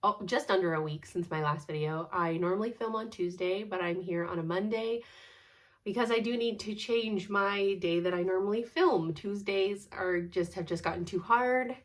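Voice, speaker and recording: A woman's voice: mid-range voice, normal speed. A woman in her thirties with a congested, stuffed-up nose, chatting casually at home about her cross-stitch, rambling and laughing often. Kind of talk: casual chat.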